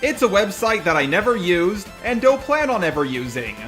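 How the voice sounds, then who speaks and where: A man hosts a scripted video commentary in a fairly high voice. His pitch moves around in an animated way, with faint music underneath. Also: moderate pace. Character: persona of a robot. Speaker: host.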